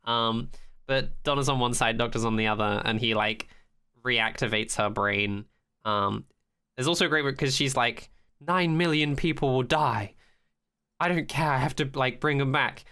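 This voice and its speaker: A man's voice: medium pitch, moderate pace, sharp tone, clear, resonant tone. A man co-hosting a podcast, recapping a TV episode in casual, sweary chat peppered with "like". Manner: sweary, frequent "like", acts out quotes. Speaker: podcast co-host.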